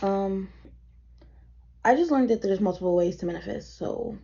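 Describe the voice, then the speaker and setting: A tired woman of twenty-one, chatting casually and rambling as she does her makeup at home, her voice a little deeper than usual from tiredness. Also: normal speed, warm tone, dull audio, a little hiss or hum. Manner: rambling, easily sidetracked, swears casually. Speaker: vlogger. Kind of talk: casual get-ready-with-me chat.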